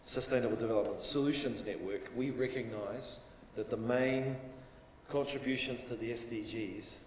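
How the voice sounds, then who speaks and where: A man in his forties at a lectern, giving a measured, formal welcome speech with phrases that trail off. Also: mid-range voice. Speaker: university welcome speaker. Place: conference room, at a lectern. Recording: roomy hall reverb, distant mic, faint hiss.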